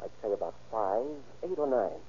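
An earnest male office boss chats in a very animated, mellow voice. It is 1930s radio crime drama with muffled sound. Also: on the low side, moderate pace, slightly rough. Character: earnest, puzzled male ice company superintendent. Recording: muffled, noisy old radio recording.

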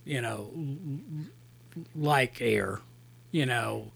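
Gravelly easygoing man on a movie podcast. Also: medium pitch, slow pace, fairly bright tone. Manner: easygoing, agreeable, trails off mid-sentence. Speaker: podcast co-host; works in the film industry. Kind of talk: casual movie review chat.

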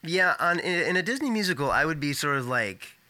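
A man chats easily, laughing and chiming in with quick asides. His voice is very bright and crisp. The sound is close and dry. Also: fairly high pitch, big pitch swings, dry recording. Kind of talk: casual chat.

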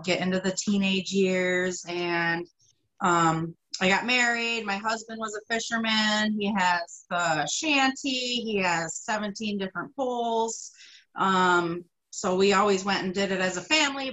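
Woman, 30s, upstate New York, relaxed chatty guest. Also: mid-range voice, measured pace, low-quality recording. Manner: relaxed storytelling with frequent ums. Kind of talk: casual interview chat.

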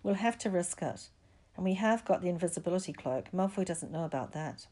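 A woman's voice: fairly low pitch, expressive, a little fast, mellow. Woman reading as a determined young schoolboy. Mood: resolute.

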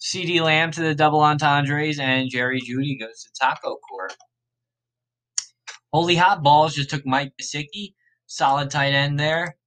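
A man hosting a podcast, thinking aloud in a casual, rambling way, his voice a little raspy and worn. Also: fairly high pitch, fairly even intonation, unhurried, a bit bright, poor audio. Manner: rambling, laid-back, self-correcting. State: slightly raspy, tired voice. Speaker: podcast host. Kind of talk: casual thinking aloud during a fantasy draft.

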